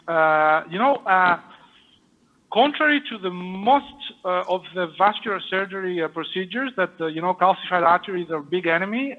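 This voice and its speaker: A man with a French accent explaining a surgical procedure live and answering questions, halting with many fillers. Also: sits high. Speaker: surgeon demonstrating a live case. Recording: muffled lo-fi audio.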